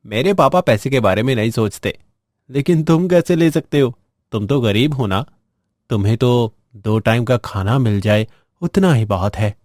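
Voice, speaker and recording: A haughty rich boy from a cartoon moral tale, acted by a grown man in a mid-pitched male voice. He shows off and talks down to others, recorded close and dry. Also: expressive, normal speed, darkish tone, no echo, lightly acted. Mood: smug, taunting. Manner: showing off and taunting. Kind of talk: moral-story cartoon dialogue.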